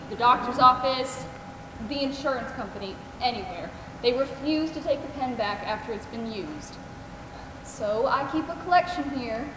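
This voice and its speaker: Woman acting a kind devoted caregiver in a play, high earnest voice, far off in a noisy theater. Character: gentle, devoted woman caregiver to AIDS patients. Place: theater stage, indoors. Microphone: picked up from far off. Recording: echoey theater, distant, noisy.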